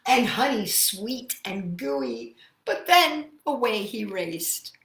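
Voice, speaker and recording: A woman's voice: medium pitch, big pitch swings, very slow, a bit bright, echoey room. A woman hosting a children's storytime, reading and chatting expressively and speaking directly to young listeners. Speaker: children's storytime host and singer. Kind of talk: children's storytime read-aloud and sing-along.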